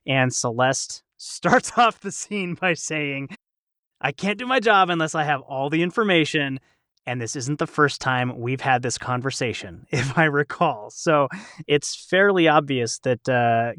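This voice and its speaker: A man's voice: medium pitch, a bit bright, no echo, studio quality. A man hosting a casual panel discussion in a chatty, reflective, wordy way. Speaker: podcast host and voice actor.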